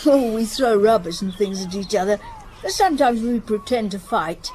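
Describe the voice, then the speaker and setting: A woman's voice: medium pitch, expressive, moderate pace, darkish tone, slight background noise, close to an everyday voice, low-quality recording. A woman playing a shy, timid schoolboy who answers politely and hesitantly in a radio drama. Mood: earnest, guarded.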